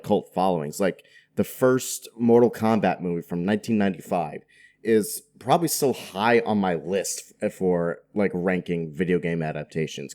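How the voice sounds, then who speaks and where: Low-voiced man in casual podcast chat. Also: warm tone. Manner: informal, slangy, chatty. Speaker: podcast guest.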